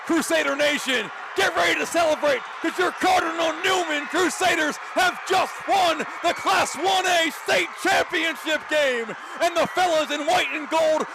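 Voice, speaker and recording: A man doing excited, emphatic play-by-play football commentary with dramatic phrasing. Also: extremely high pitch, moderate pace, hardly any pauses, noisy background. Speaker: play-by-play sports announcer.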